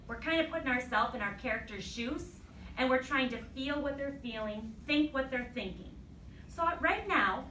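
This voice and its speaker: A woman teacher reading aloud to a class and giving pupils deliberate, instructive directions, checking that they follow along. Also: a little high, sharp tone. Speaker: elementary school teacher. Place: classroom, recorded from a distance. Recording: roomy, distant mic, faint noise.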